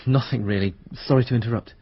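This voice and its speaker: A gossipy young student in a radio mystery, wary and offhand. His voice is husky, heard dry in a slightly muffled recording. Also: mid-range voice, fairly quick, no echo. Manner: informal, offhand, slangy. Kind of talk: radio mystery drama acting. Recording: dull, lo-fi radio drama recording.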